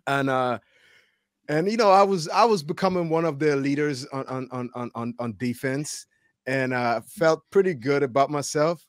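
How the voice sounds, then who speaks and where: A man hosting casual sports talk, telling stories in an animated, slangy way and repeating words for emphasis. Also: mid-range voice, moderate pace, no echo, studio quality. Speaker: podcast host and former college football player.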